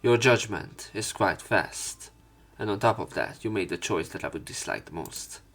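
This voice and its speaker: Low-voiced man reading a story aloud. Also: expressive, normal speed, a bit bright. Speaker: let's play narrator. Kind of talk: reading visual novel narration aloud.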